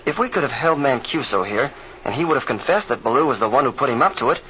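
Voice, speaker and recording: A man's voice: medium pitch, animated, fast talker, pushed and bright. A man playing an earnest, eager young pilot in an old radio drama, on a muffled, hissy recording. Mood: earnest, regretful.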